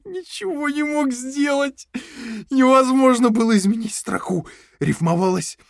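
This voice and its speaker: An actor playing a jittery, ruminating intellectual prisoner in an audio drama, speaking in a halting, brooding way. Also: male voice, very high voice, gravelly, no echo, studio quality, heavily acted. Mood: nervous musing.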